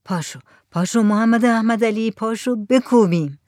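A woman's voice: fairly high pitch, darkish tone, no room sound, studio quality, close to an everyday voice. A woman reading the lines of a poor, resigned village drummer.

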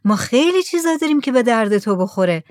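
A woman's voice: fairly high pitch, very animated, a little mellow, dry recording, clean studio sound, voice pushed up. A woman reading the lines of an eager village man trying to sell his goods. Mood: coaxing. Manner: coaxing, persuasive.

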